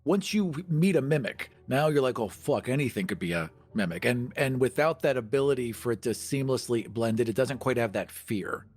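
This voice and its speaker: A man with a husky voice speaking as a podcast panelist, in informal talk or reading aloud. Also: mid-range voice, animated, normal speed, hardly any pauses, darkish tone. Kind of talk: casual chat and reading aloud.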